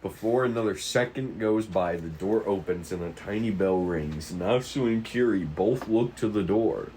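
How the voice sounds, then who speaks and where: A man's voice: lowish voice, normal speed, a little mellow, slight background noise, rough recording. A man reading stage directions aloud as narrator at an amateur table read.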